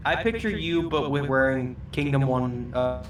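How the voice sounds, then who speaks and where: Male guest co-commentator, mid-range, halting casual chat, roomy distant sound, gunfire noise behind. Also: mid-range voice, animated. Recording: echoey, distant mic, game gunfire behind.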